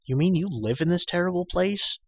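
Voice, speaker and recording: A man's voice: high-pitched, animated, a little fast, husky, dry recording, pitched above the performer's own voice. A man voicing a bewildered, earnest young farm boy in a storybook, anxious and given to startled exclamations. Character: bewildered, earnest young assistant pig keeper boy held prisoner. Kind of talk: storybook character voice.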